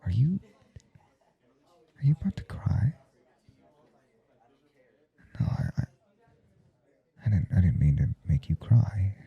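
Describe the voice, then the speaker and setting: A man playing a nervous, smitten professor in an intimate ASMR roleplay, husky and halting, stammering coyly as he speaks. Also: very animated, highs cut off, exaggerated voice. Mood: anxious, hesitant. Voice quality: husky, dark low murmur. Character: nervous, smitten male professor confessing forbidden attraction. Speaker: audio roleplay performer.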